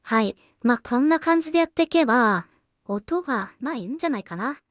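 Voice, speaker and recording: Female creator, fairly high voice, casual working commentary half to herself, close dry sound. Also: animated, brisk, no room sound, soft background music. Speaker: solo animation creator and voice actor. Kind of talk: casual production commentary, thinking aloud.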